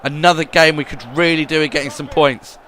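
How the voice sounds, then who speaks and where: A man narrates his football video game matches as a voice-over, rambling and repeating himself as he goes. His voice sits a little high for a man. It sounds bright and forceful. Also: brisk. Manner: rambling, repeats words, swings between gloom and excitement.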